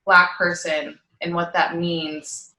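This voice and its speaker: A woman's voice: lowish voice, flat delivery, bright, forceful tone. An American woman from Utah speaking as an interview guest in casual, reflective conversation, often searching for her words. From Utah, United States. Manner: halting, searching for words, frequent "like". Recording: echoey, roomy sound.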